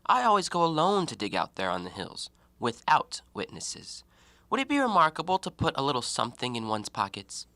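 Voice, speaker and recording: A man playing a talkative, educated and self-righteous gentleman antiquarian in an audio drama, given to theatrical, argumentative speeches. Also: mid-range voice, huge pitch swings, fairly quick, dry recording, heavily acted. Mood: musing, self-assured. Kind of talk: stage-play acting in an audio drama.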